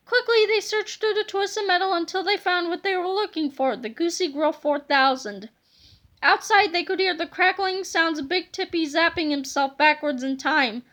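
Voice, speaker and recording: A woman reading a children's storybook aloud in an expressive narrating style. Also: very high voice. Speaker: storybook reader. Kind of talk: animated read-aloud of a children's book.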